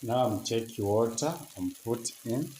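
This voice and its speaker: A man with a Tanzanian accent chats casually to viewers as he demonstrates cooking, in halting, simple non-native English with short repeated phrases. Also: mid-range voice, mellow. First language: not English. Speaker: cooking vlogger. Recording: echoey, noisy with cooking sizzle.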